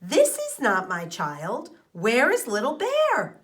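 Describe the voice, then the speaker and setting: A woman's voice: on the high side, wildly swooping pitch, takes it slowly, voice pushed up, full character voice. A woman voicing a wary old mother bear in a storybook, with emphatic, exclamatory delivery. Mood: startled, grumpy.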